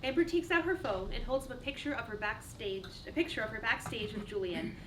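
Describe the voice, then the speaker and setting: A distant, noisy room recording of a woman narrating a play. Her voice sits a little high as she reads scripted stage directions. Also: brisk.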